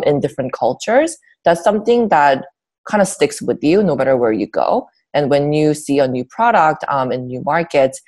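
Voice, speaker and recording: A woman from South Korea, a startup founder, answering interview questions in a relaxed, chatty way with many fillers. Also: low-pitched.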